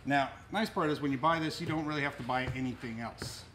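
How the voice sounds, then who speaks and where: A man's voice: medium pitch, fast, a bit bright. Middle-aged man demonstrating gear, noisy recording. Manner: plain-spoken, explanatory, talking while handling gear. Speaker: store presenter demonstrating audio gear. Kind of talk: casual unboxing and product demonstration. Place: indoors in a cluttered audio equipment store. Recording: noisy room recording with clicks.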